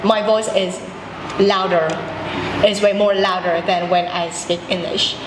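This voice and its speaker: A woman's voice: steady tone, a little slow. Confident Vietnamese-accented woman student, in a roomy space with long reverb. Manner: fluent, self-assured, a low voice when speaking English. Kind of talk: class discussion. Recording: echoey room with background noise.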